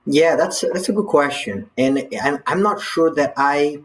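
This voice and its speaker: A man's voice: on the high side, unhurried. A man from Brazil speaking conversationally and tentatively as a panelist. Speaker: panelist, corporate leader.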